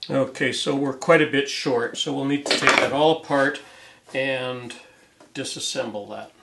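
Gravelly middle-aged man in a roomy workshop. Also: medium pitch, measured pace. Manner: hesitant, thinking aloud while working. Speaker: woodworking video host. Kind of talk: informal hands-on demonstration commentary. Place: indoor woodworking workshop. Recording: roomy workshop sound, light background noise.

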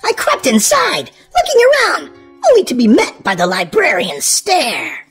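A woman hosting a children's read-aloud, narrating in an exaggerated, sing-song, expressive way for small children. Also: high voice, unhurried, faint music behind. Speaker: host and reader of a children's read-aloud show. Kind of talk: animated children's storybook narration.

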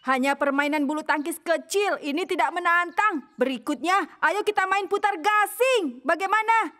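A woman's voice: very high voice, normal speed, bright, forceful tone. A woman voicing a spoiled, boastful young raccoon-dog boy in a cartoon, showing off and sure of himself. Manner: show-off, boastful, self-assured. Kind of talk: cartoon dub acting.

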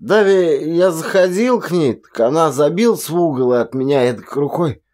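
Guy doing drunk ex-soldier in an audiobook, hoarse n slurry, cocky and scornful. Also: fairly high pitch, animated, normal speed, darkish tone, pitched above the performer's own voice, natural voice. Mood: boastful.

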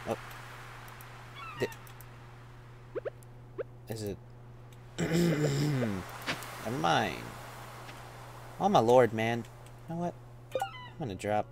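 A man's voice: mid-range voice, huge pitch swings, drawn-out words, vocal fry, long pauses, background noise. A man casually commenting while playing a farming game, laid-back and rambling, often calling people "man". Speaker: gameplay streamer. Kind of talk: casual let's-play commentary.

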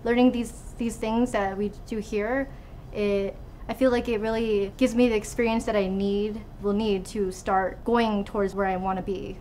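A woman's voice: mid-range voice, steady tone, normal speed, mellow, slight background noise. A woman in her twenties from Hawaii, a student researcher giving informal interview answers in a laboratory. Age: 20s. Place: indoors in a laboratory.

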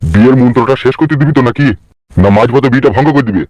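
A man's voice: medium pitch, racing, a little mellow, lo-fi sound, natural voice. A man voicing a crabby, money-grubbing old wedding priest in a comic cartoon, scolding and crude. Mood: bossy, haggling.

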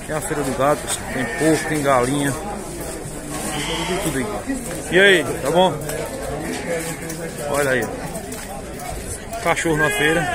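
A man filming a walking vlog at an outdoor livestock market, calling out prices to viewers. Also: on the high side. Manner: calls out prices loudly to viewers. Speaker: vlogger touring an animal market. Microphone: handheld phone camera. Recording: loud crowd and bleating animals behind.

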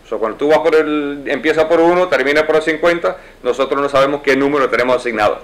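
A man's voice: fairly high pitch, quick pace, a bit bright, rough recording. Man in his forties answering interview questions. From United States. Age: 40s. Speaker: lawyer and judicial candidate. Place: wood-paneled office, indoors.

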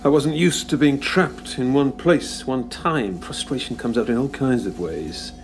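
An actor playing a wry, reflective time-travelling hero in an audio drama, speaking conversationally and articulately. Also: male voice, medium pitch, a little slow, noisy background, close to an everyday voice. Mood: apologetic, warm.

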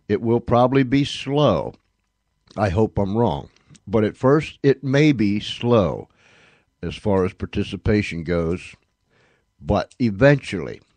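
Man, podcast host, slow delivery, emphatic repeats, deliberate pauses, somewhat deep. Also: a little mellow, dry recording. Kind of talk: unscripted show announcement.

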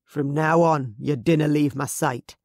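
A stern, protective Scottish Highland warrior chief, as a narrator puts him on, giving firm, clipped orders in a Scottish accent. His voice is louder and pushier than the narration around it, and it sits a touch higher. The sound is close and dry. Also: steady tone, unhurried, no echo.

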